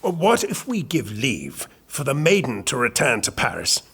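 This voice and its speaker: An actor playing a calculating royal chamberlain in an audio drama, speaking in a formal, measured, persuasive way. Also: male voice, mid-range voice, wildly swooping pitch, a bit bright, breathy edge, full character voice. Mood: persuasive, scheming. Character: calculating, smooth-talking royal chamberlain and courtly schemer.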